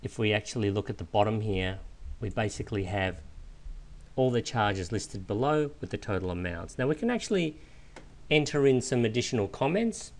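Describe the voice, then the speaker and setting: A man giving an informal, step-by-step software walkthrough, explaining as a screencast instructor. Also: on the low side, lively intonation, normal speed. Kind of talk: step-by-step screencast tutorial narration.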